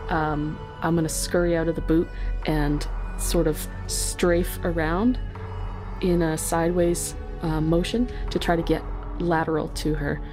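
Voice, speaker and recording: Female player, slightly low voice, casual game narration, thinking aloud, music underneath. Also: level tone, measured pace. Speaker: guest player.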